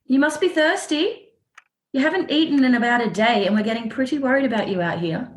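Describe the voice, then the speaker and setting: A woman's voice: sits high, expressive, brisk, reverberant. An actress in a radio play playing a flippant young woman who talks in a breezy, coaxing way.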